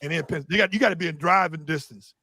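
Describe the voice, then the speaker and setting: A man's voice: a little high, quick pace, sharp tone, rough recording. A man guesting on an interview, telling stories loosely and bluntly with street slang. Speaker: interview guest, Doberman breeder. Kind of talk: casual conversational interview.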